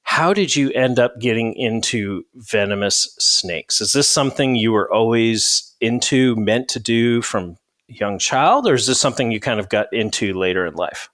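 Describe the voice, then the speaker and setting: A man hosting a podcast interview, talking in a relaxed, chatty way with many fillers and restarts. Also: medium pitch, normal speed, dry recording. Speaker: podcast host. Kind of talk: casual interview.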